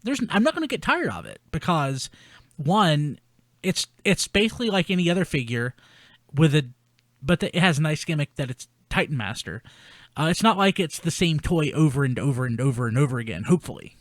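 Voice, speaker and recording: A man hosting a casual panel podcast, talking haltingly and repeating words as he goes. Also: fairly high pitch, big pitch swings, no echo. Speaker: podcast host. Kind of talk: casual panel chat, reading listener mail.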